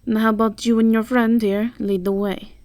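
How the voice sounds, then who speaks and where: A woman voicing an authoritative, composed and wry academy professor in an amateur fantasy audio drama, with a measured, instructive manner. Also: a little high, flat delivery, a little mellow, no echo, natural voice.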